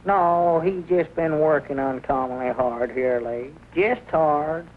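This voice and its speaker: An actor playing a mild, homespun country fellow with a drawling Southern accent in a 1940s comedy film. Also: male voice, a little high, slow. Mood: mild, folksy. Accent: rural Southern US. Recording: tinny, noisy 1940 film soundtrack.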